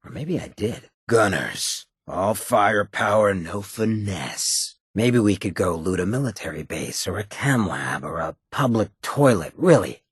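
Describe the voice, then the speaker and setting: An actor voicing a sardonic, streetwise ghoul town boss for a video game, with a gravelly rasp and a sly, slangy delivery. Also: male voice, lowish voice, animated, measured pace, hardly any pauses, dry recording, clean studio sound, full character voice. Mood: sardonic musing. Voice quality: gravelly, raspy. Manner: sly, laid-back, slangy. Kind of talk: video game companion voice lines.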